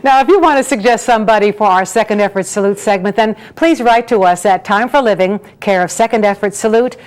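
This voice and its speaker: A woman's voice: mid-range voice, fast, clear, resonant tone. A woman co-hosting a magazine show with scripted presenting. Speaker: co-host.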